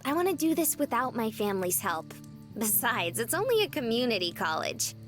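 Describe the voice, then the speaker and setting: An actress playing a quirky, cheerful young woman in a visual novel, with a playful, pouty, expressive delivery. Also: fairly high pitch, fairly quick, faint music behind. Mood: chatty.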